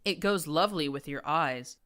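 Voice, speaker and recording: A woman's voice: low-pitched, moderate pace, a bit bright. A woman voicing a pompous, deranged undead male pony prince who hisses with false gentility and breaks into screams. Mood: hissing mock-gentle. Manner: hissing, falsely genteel, erupting into screams.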